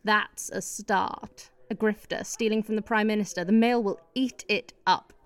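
A woman's voice: mid-range voice, fairly even intonation, normal speed, close to an everyday voice. A woman with a British accent playing a scheming, sardonic political manipulator in a satirical radio play. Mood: brisk, plotting. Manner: sarcastic, cutting, coaxing.

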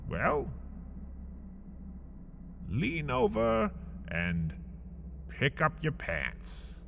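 A man hosting and narrating a scripted comic horror piece, theatrical and drawn out, swinging from whispers to shouts. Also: a little high, huge pitch swings, drawn-out words, creaky, muffled recording, slight background noise. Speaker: host and narrator.

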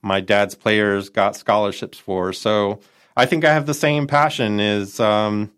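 A man's voice: fairly low pitch, fairly even intonation, a little slow, a bit bright, smooth. A middle-aged man with a nasal Chicago accent, chatting as an interview guest, rambling with folksy asides and frequent self-corrections. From Minot, North Dakota. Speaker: guest, nonprofit entrepreneur advisor. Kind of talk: conversational interview.

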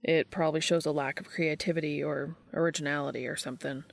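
A modest grown man and would-be poet voiced by a woman in an audio story. The voice is on the low side with a creaky edge, plain and self-deprecating, recorded dry. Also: fairly even intonation, quick pace, no echo. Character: modest, self-doubting adult man, aspiring poet and student.

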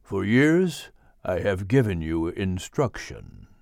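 A man voicing a wise, calm male mentor appearing as a vision, solemn and measured, in an audiobook. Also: low-pitched, creaky, no room sound, clean studio sound, pitched below the performer's own voice, exaggerated voice. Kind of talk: audiobook character voice.

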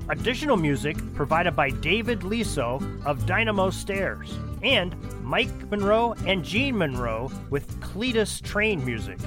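Man, scripted credits announcer, raised pitch, very varied intonation, music bed. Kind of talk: scripted podcast credits narration.

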